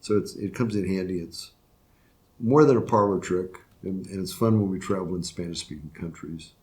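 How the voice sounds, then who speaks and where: Low-voiced older man, halting. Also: darkish tone. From Florida, USA. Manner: halting, many restarts and fillers. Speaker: retiring circuit court judge. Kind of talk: reflective interview answers.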